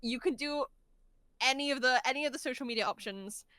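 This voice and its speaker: Bright, high-voiced, giggly woman. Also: fairly quick, smooth, no echo. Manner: chatty, giggly, effusive. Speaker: guest voice actor. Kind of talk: casual podcast chat.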